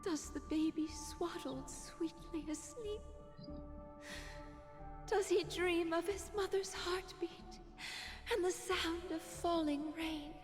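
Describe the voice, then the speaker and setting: An actress playing a sorrowful young mother who prays and blesses her child in a solemn, tender, sometimes whispered voice, in a video game. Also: extremely high pitch. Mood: mournful. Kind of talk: dramatic video game acting. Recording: long hall reverb, music behind.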